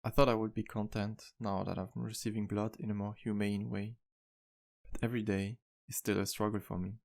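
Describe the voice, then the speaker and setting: Male actor as gentle vampire bartender, low gravelly voice, soft-spoken, earnest, wistful, flirtatious, intimate ASMR roleplay. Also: flat delivery, fairly quick. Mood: troubled, longing. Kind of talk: ASMR roleplay, intimate one-sided dialogue to listener.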